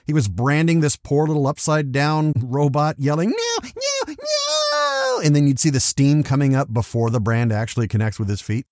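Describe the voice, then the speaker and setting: A man's voice: medium pitch, brisk, few pauses, a little mellow, no echo. A jokey, theatrical man hosting a scripted comedy podcast like a voice actor, close on the mic.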